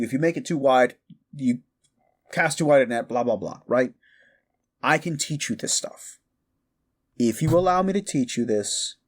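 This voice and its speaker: A man playing an animated, mischievous chatty necromancer. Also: a little high. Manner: rambling, slangy, casual, sweary, stammering enthusiasm. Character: quirky, mischievous young male necromancer, chatty and eager. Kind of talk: comedic audio roleplay addressed to the listener.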